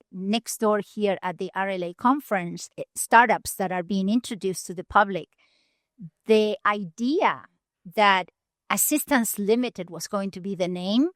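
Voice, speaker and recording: A woman from Argentina, a nonprofit leader telling her story in an earnest, measured way with deliberate pauses. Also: medium pitch, no room sound, studio quality. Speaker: guest, nonprofit CEO. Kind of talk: storytelling interview answers. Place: conference venue.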